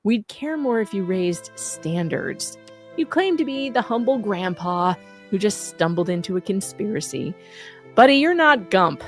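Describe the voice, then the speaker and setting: A woman's voice: medium pitch, lively intonation, measured pace, faint music behind. A woman reading out a scripted satirical roast as an online host, with a sarcastic, punchy, emphatic delivery.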